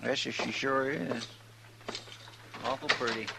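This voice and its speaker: Creaky, folksy cowboy sidekick. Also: male voice, medium pitch, fairly even intonation, unhurried. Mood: friendly, eager. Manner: folksy, respectful, artless. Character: folksy, simple, deferential western sidekick man. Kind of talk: 1950s radio western acting. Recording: noisy old radio recording.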